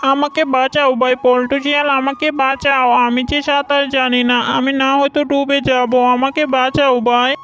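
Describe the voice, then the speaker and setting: A woman's voice: sits high, little pitch movement, normal speed, few pauses, a bit bright. A woman voicing an earnest, naive, good-natured male fox in a children's cartoon. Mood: pleading. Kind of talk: children's cartoon fairy-tale acting.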